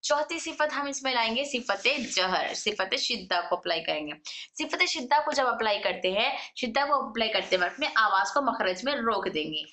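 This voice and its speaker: A woman's voice: on the high side, expressive, normal speed, sharp tone, reverberant. A woman teaching a recitation lesson, patiently repeating each sound and phrase. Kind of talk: tajweed lesson.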